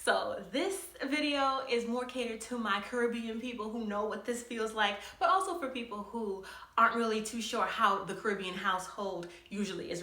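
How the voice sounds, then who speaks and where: A young woman telling a story on her vlog in an animated way, often laughing as she talks. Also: mid-range voice, roomy sound. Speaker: vlogger.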